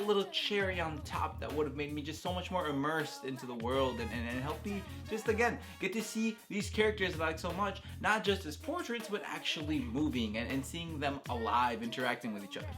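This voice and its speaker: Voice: mid-range voice, moderate pace, few pauses. A video game reviewer giving casual, enthusiastic commentary in a conversational, emphatic way with rambling asides. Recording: music playing under the voice. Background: background music.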